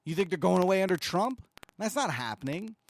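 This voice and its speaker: Lively, sarcastic middle-aged man commentator. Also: high-pitched, slight background noise. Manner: sarcastic, exasperated, halting. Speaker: podcast host, commentator. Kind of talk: commentary on played clips.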